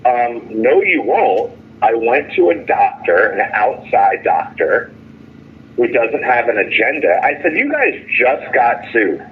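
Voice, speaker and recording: An indignant man speaking off the cuff over the phone, halting and repeating himself as he airs grievances in an emphatic way. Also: medium pitch, sing-song, breathy edge. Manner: halting, repetitive, with stumbling restarts; indignant, emphatic storytelling with quoted dialogue. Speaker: detainee calling in from jail. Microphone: telephone. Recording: tinny, noisy phone line.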